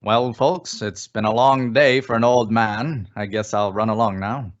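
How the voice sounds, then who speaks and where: A man's voice: fairly low pitch, fairly even intonation, a little slow, dull audio, lightly acted. A man acting as an easygoing, folksy old man in an old-time radio suspense drama. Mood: genial.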